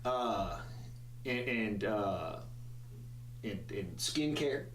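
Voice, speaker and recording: A man's voice: on the low side, animated, extremely slow, a bit bright, echoey room, slight background noise, poor audio. A man chatting on a podcast, rambling and stammering through crude jokes. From part Spanish and French heritage. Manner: rambling, stammers and repeats words, crude joking. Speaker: podcast guest. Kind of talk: casual chat.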